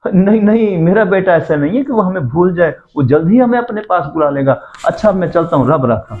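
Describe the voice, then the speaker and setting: A man's voice: high voice, expressive, faint music behind. A man acting as a trusting, devout elderly village father in a moral drama, earnest and full of pious words. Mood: contented, proud. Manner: earnest, pious, speaks in blessings. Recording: dull lo-fi audio, roomy echo.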